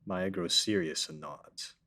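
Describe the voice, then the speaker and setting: A man's voice: low-pitched, expressive, a little slow, vocal fry, bright, forceful tone, a little hiss or hum. A man narrating a script at a table read, reading the stage directions plainly.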